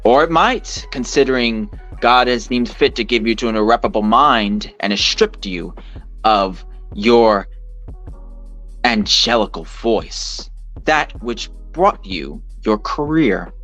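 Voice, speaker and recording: A man's voice: mid-range voice, expressive, slow pace, fairly bright tone, faint music behind. A man delivering a monologue to an audience in wordy, formal, pointed phrasing. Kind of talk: monologue addressed to camera and audience.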